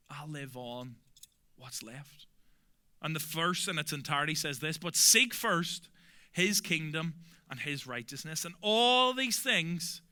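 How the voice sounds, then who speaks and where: A young adult man from Belfast with a Belfast accent, preaching a sermon earnestly and emphatically, often dropping to soft, quiet endings. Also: on the high side. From Northern Ireland. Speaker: pastor.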